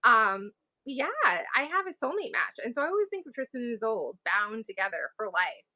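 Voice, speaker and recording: A woman's voice: on the high side, very animated, normal speed, sharp tone, no room sound. A woman from New England, an author chatting casually as a guest and laughing often as she talks. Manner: giggly, laughs often while talking. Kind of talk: casual chat.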